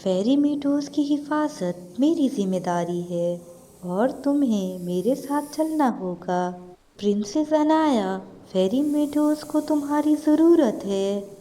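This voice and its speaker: A woman's voice: high-pitched, animated, slow pace, dark tone, rough recording. A woman voicing a solemn, earnest female guardian fairy in a storybook tale.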